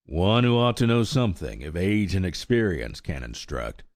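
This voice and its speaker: A man voicing a sorrowful, resigned old mare in a storybook reading, speaking slowly with sighs. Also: sits low, sing-song, darkish tone, dry recording, studio quality. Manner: slow, sighing, world-weary.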